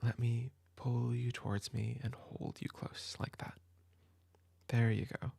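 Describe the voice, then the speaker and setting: A man playing a caring older brother who shelters a younger sibling, soft-spoken and reassuring, sometimes dropping to a whisper, in a comfort audio roleplay. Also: mid-range voice, flat delivery, measured pace, creaky, husky, exaggerated voice. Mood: gentle. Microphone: home desk mic.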